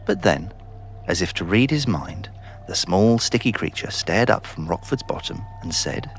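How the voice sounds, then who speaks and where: A man narrating a scripted children's story in an expressive storytelling manner. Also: sits low, normal speed, creaky, faint music behind.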